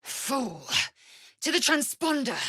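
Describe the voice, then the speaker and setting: An actress voicing a fierce, haughty young alien warrior woman in a video game, husky and breathy, with an imperious, clipped, formal manner. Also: high voice, big pitch swings, slow, fairly bright tone, no room sound, studio quality, heavily acted. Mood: fierce, commanding.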